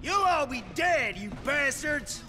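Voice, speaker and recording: An actor playing a rough Old West gunfighter, yelling urgently in a video game battle. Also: male voice, very high voice, animated, slow, over music, exaggerated voice. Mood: vicious. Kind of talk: game combat voice acting.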